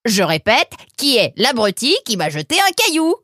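A woman's voice: on the low side, big pitch swings, measured pace, dry recording, clean studio sound, full character voice. A woman voicing a small, wiry male fox, a threatening bully who barks slangy orders in a children's audio story. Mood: angry, threatening.

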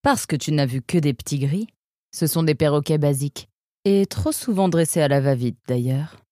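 A woman voicing a confident, proud female fox who explains things briskly in a children's audio story. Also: deep voice, dark tone, no room sound, studio quality, lightly acted. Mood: proud, explanatory.

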